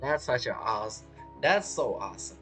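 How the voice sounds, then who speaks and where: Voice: medium pitch, lively intonation, moderate pace, over music, low-quality recording. A game streamer giving casual let's play commentary in non-native English phrasing.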